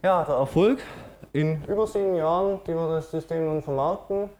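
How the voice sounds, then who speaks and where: A man's voice: a little high, normal speed, a little mellow. A middle-aged man with a Swabian accent giving a matter-of-fact technical conference presentation, with frequent fillers. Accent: Swabian German. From Swabia, Germany. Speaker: conference speaker.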